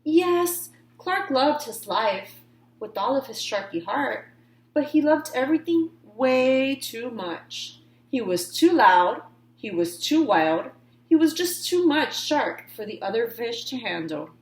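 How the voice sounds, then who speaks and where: Expressive, slow-paced woman storyteller. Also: a little high, roomy sound, rough recording. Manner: dramatic emphasis on key words. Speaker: read-aloud storyteller. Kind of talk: expressive picture-book reading for children with informal asides.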